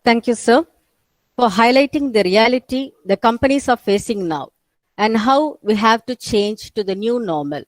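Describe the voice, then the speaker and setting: A woman's voice: a little high, normal speed, no room sound. Dry-sounding woman anchoring a webinar. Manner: polite, ceremonial, formal phrasing. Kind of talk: formal anchoring of an academic event. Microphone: video call.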